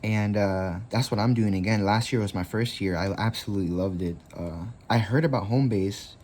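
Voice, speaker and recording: A man's voice: lowish voice, moderate pace, darkish tone, slight background noise. A man in his twenties hosting a casual craft tutorial, rambling easily with lots of fillers. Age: 20s.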